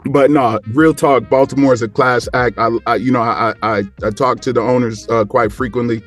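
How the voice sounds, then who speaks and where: A man's voice: medium pitch, fairly even intonation, normal speed, soft background music. A man in casual sports talk as a panel guest, rambling along and repeating phrases for emphasis.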